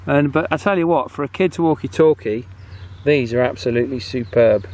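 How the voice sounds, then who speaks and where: A man hosting a casual video outdoors, chatting in a halting way and checking in often as he tests gear. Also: mid-range voice, a little mellow. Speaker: host. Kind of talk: casual outdoor video commentary and walkie-talkie testing. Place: outdoors on a path. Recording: outdoor background noise, faint.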